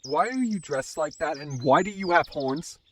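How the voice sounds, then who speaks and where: A man's voice: on the high side, lively intonation, moderate pace, fairly bright tone, slight background noise. A man playing an earnest, polite young man in a robot's body in an audio drama. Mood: puzzled.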